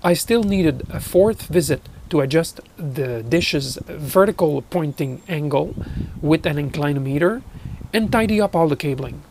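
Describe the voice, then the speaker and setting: A man's voice: on the high side, lively intonation, a little slow, vocal fry, a little mellow, a little hiss or hum, rough recording. A middle-aged man from Canada narrating a hobby project vlog as an amateur radio operator.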